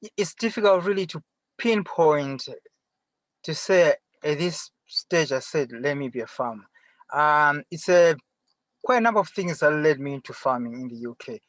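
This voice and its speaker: A middle-aged man with a Zimbabwean accent answering interview questions conversationally from inside a car, often pausing and rephrasing. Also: on the high side, lively intonation, a bit bright, no echo. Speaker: interview guest, farmer. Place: sitting in a parked car.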